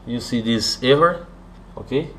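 A man from Brazil with a Brazilian Portuguese accent, walking through a hardware setup in halting English, stopping often to ask "okay?". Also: medium pitch, a little hiss or hum. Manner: halting, with many "uh" and "okay?" checks. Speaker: hobbyist showing a hardware setup. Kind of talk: hands-on tutorial walkthrough. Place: indoor room at a desk.

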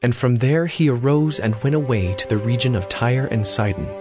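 A man's voice: mid-range voice, moderate pace. Casting: man with a middle-register voice to narrate dramatized scripture over music.

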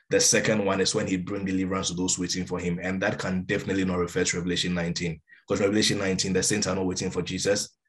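A man in his forties giving emphatic Bible teaching over a video call from a room at home. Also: low voice. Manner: emphatic, gestures while teaching. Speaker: preacher. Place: room with a window, indoors. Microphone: laptop webcam on a video call.

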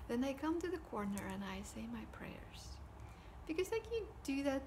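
Home vlogger, forties, soft breathy delivery, phone-camera sound with steady hiss. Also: female voice, sits high, moderate pace. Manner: soft, often whispery delivery. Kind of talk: casual personal talk to camera. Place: living room at home, indoors. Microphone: device camera at desk distance.

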